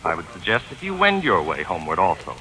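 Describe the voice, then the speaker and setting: A man playing a prim, fussy, wordy gentleman sleuth and narrator in a 1940s radio drama, pompous and dry. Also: low-pitched, wildly swooping pitch, quick pace, exaggerated voice. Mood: dryly polite.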